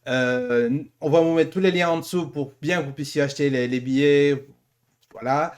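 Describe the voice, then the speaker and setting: A man's voice: on the high side, fairly even intonation, moderate pace. A man hosting a casual online interview show, speaking in a hesitant, rambling way and repeating his phrases. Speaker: host.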